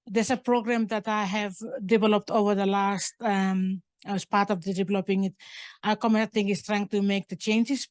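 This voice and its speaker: An older woman answering questions as a guest speaker, unscripted, in a halting manner that often rephrases mid-sentence. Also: medium pitch, a little mellow, dry recording. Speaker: guest speaker and curator at a museum talk. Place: indoors at a museum talk event.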